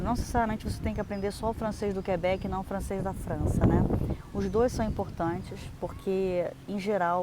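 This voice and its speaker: A young adult Brazilian woman giving chatty, explanatory advice in a casual vlog, with lots of fillers and restarts. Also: fairly low pitch. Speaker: vlogger. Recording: noisy outdoor recording.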